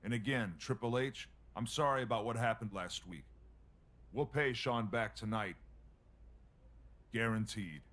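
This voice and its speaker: A man playing a wary, deferential pro wrestler and uneasy ally in a video game cutscene, speaking in a measured, guarded way. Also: fairly low pitch, a bit bright.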